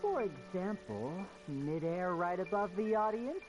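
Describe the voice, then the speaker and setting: An actor playing a young stage magician in a video game, with a theatrical, playful and polite manner. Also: male voice, high-pitched, big pitch swings, a little slow. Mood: assured. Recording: game audio with loud music behind.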